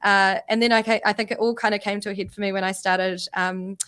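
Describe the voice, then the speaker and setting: Female panel guest, New Zealand accent, medium pitch, informal and somewhat halting storytelling. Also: fairly even intonation, fairly bright tone, clean tone. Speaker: panel guest, company co-founder. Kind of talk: casual, unscripted personal account.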